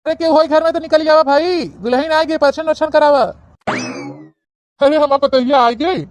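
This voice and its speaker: Woman as a high-voiced, impatient Awadhi palanquin bearer. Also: steady tone, normal speed, close to an everyday voice. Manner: hurrying, nagging. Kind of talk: Awadhi comedy cartoon dubbing.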